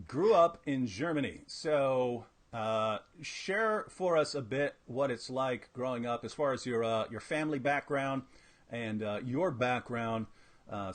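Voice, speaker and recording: A man hosting a live interview over a video call, asking questions and cracking teasing jokes. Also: medium pitch. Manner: joking, teasing. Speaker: host.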